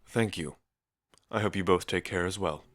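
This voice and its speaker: A man's voice: deep voice, normal speed, fairly bright tone. A man playing a polite, reserved arbiter in an ASMR roleplay, speaking in a formal, courteous manner. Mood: calm, courteous.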